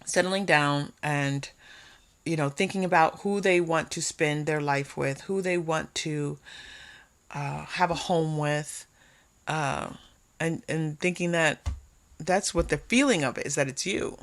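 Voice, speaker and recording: A woman hosting an unscripted tarot reading, talking conversationally to viewers and often pausing or repeating phrases as thoughts come to her. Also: low-pitched. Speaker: tarot reader hosting a pick-a-card reading.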